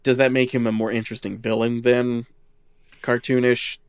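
Male podcast co-host, mid-pitched, sardonic, sweary, casual, recorded very dry. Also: level tone, normal speed, smooth, no room sound. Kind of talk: casual chat about game lore.

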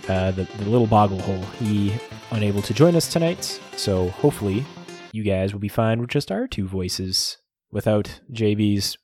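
A man's voice: on the low side, expressive, moderate pace, faint music behind. A man hosting a podcast in a casual, joking way. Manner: laid-back, joking, searches for words. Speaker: podcast host.

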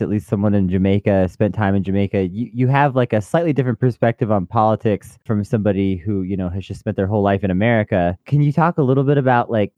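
A man co-hosting a podcast, asking drawn-out, deliberate questions in casual chat. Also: fairly low pitch, lo-fi sound. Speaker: podcast co-host.

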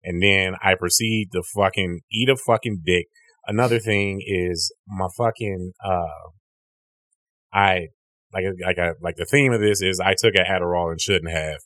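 Deep-voiced rambling young man. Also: measured pace, a bit bright, no room sound. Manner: rambling, profane, restarts often, acts out quotes in a high voice. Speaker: comedian and podcast host. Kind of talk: casual storytelling chat.

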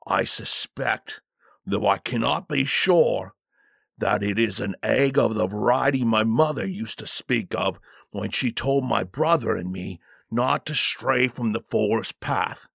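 A man's voice: fairly low pitch, a little slow, creaky, a bit bright, breathy edge, no room sound, exaggerated voice. A man voicing a burly, deferential armored bodyguard in a storybook, speaking in a grave, formal, warning manner. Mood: ominous, wary.

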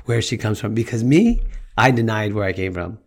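A man with Colombian roots, speaking in a measured, reflective way in casual conversation. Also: on the low side, lively intonation. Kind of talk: casual chat.

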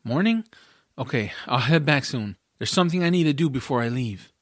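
A man's voice: medium pitch, animated, a little fast, no room sound, clean studio sound, close to an everyday voice. A man playing an earnest, eager young boy hero in a family fantasy audiobook drama. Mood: startled. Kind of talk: audiobook drama character acting.

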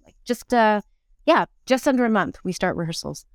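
Clear-voiced woman of fifty, chatting. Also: mid-range voice, animated, moderate pace, no room sound, studio quality. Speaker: interview guest, stage actor in musicals and plays. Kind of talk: conversational interview.

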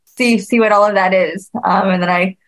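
A woman whose first language is Croatian, moderating a webinar in a relaxed way with some hesitations. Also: medium pitch, moderate pace. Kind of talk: casual webinar chat.

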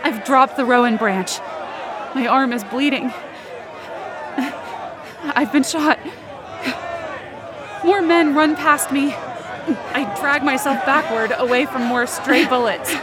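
A woman's voice: high voice, slow pace, pauses often. Woman acting anxious woman narrator amid crowd noise. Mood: shocked, urgent. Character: anxious, desperate woman narrating her own survival ordeal. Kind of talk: first-person present-tense audio drama narration. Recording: noisy outdoor crowd sound effects behind.

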